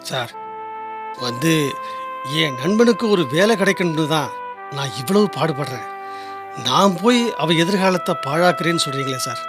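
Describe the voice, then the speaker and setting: Young male radio-drama lead played by a man: high-pitched, earnest, loyal working lad, over music. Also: expressive, normal speed, pauses often, close to an everyday voice. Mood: earnest, hurt. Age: young adult. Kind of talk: Tamil radio drama acting. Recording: music behind the voice.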